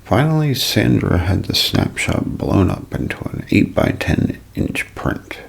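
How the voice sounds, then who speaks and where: A man narrating a scripted mystery as ASMR in a breathy, hushed half-whisper, phrase by deliberate phrase. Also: lowish voice, very animated. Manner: slow, deliberate, broken into short phrases.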